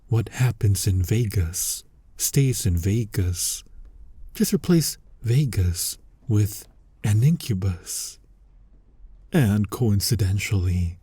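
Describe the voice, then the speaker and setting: Husky, slow-talking flirty incubus demon played by a man. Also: fairly low pitch, warm tone, no room sound, full character voice. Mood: coaxing, reassuring. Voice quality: husky, breathy, often dropping to a whisper. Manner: slow, sultry, teasing, addressing listener directly. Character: flirty, teasing, dominant male incubus demon seducer. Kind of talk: intimate ASMR roleplay. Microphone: close mic, dry.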